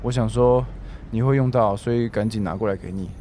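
A man's voice: fairly low pitch, fairly even intonation, brisk, warm tone, slight background noise, natural voice. A man playing an easygoing, playful young housemate. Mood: friendly, breezy. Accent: Taiwanese Mandarin. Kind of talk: radio drama acting.

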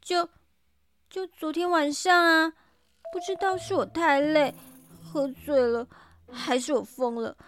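A woman playing an excitable young woman in a radio drama, with a cutesy, whiny, exclamatory manner. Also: high-pitched, slow pace, pauses often. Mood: sheepish, earnest. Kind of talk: Mandarin radio drama acting.

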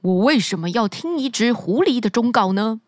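A woman's voice: on the high side, animated, moderate pace, no room sound, studio quality, lightly acted. A woman voicing a smug, pleasure-seeking eldest prince in a children's bedtime story, with a dismissive tone. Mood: scornful smug.

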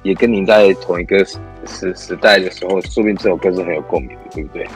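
A woman's voice: rumbling low, fast, faint music behind. A woman hosting an interview, encouraging her guest with joking remarks and many affirming murmurs. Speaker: radio show host. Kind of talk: interview chat.

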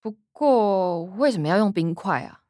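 A woman's voice: mid-range voice, expressive, normal speed, a little mellow, no echo, studio quality, natural voice. An actress playing a sarcastic, teasing young working woman, the older sister in a radio drama, with a Taiwanese Mandarin accent. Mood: eager, curious. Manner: sardonic, teasing.

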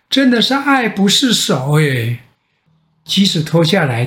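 An older man with a Taiwanese Mandarin accent tells a children's bedtime story in a gentle, expressive way with drawn-out phrase endings. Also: high-pitched. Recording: echoey room sound.

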